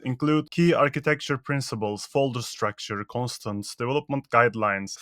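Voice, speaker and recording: A man whose first language is Turkish walks through a scripted tutorial on his working methods. He moves from one tip to the next in a brisk, matter-of-fact voice. The mic is close and the sound is dry, with no room around it. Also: mid-range voice, no room sound. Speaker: software engineer.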